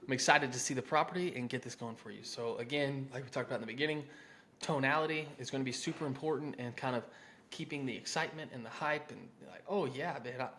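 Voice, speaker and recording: A man's voice: mid-range voice, quick pace, fairly bright tone, a little hiss or hum. A man with an American accent coaching contractors in an informal, conversational, salesy way, mixing instruction with sample phone-script lines.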